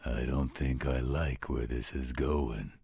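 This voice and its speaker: Very deep, gravelly werewolf guardian. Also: male voice, fairly even intonation, dark tone, no echo, studio quality, heavily acted. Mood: reluctant, reflective. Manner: slow, protective, fatherly. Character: gruff, overprotective male werewolf guardian, tender toward his ward. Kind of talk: audio roleplay acting.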